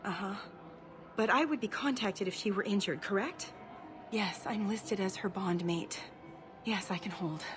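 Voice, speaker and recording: A woman's voice: mid-range voice, unhurried, natural voice. A woman playing a worried alien spouse in a video game, speaking politely with restrained, hesitant anxiety. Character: anxious, polite female alien worried for partner. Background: music.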